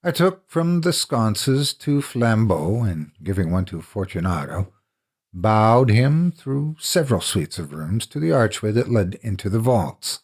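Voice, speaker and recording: A man with a gravelly, husky voice reading a classic horror story as dramatic narration. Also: on the low side, big pitch swings, a little slow, darkish tone.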